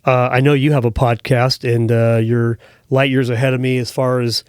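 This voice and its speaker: A man's voice: medium pitch, level tone, normal speed, darkish tone, clean tone, no echo. A man hosting a podcast interview in a studio, chatty and friendly, with frequent fillers. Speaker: podcast host. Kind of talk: casual interview chat. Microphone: studio mic.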